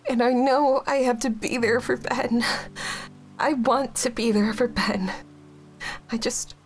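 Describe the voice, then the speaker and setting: An actress playing a young widowed mother, halting and raw with grief, in a dramatic dialogue. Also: on the high side, fairly even intonation. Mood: weary. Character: grieving young widowed mother, guilt-ridden.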